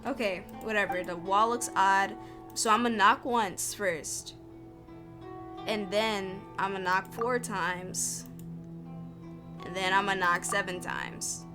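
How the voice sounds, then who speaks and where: A woman's voice: mid-range voice, steady tone, takes it slowly. A woman streaming a game, thinking aloud in informal, muttered commentary to herself, with music behind her.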